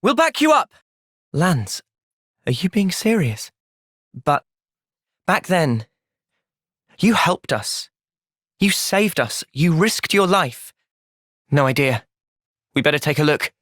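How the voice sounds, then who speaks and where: A man voicing a thoughtful, loyal young hero in a video game, with an earnest, measured delivery. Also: a little high, big pitch swings, a bit bright, no room sound, clean studio sound, full character voice. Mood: urgent. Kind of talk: video game cutscene voice acting.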